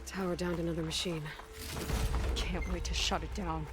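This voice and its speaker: An actress playing a determined, capable young heroine in an action adventure game, focused and musing to herself. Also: female voice, fairly low pitch, level tone, moderate pace, heavy background noise, natural voice. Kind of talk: video game voice acting. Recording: loud game music under voice. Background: game music and effects.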